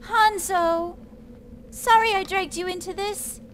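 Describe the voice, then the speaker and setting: A woman's voice: extremely high pitch, fairly even intonation, slow pace, noisy background. An actress playing a cheerful, motherly female ninja in a video game, playful and chiding. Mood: fond. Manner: bubbly, chiding. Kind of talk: video game English dub acting.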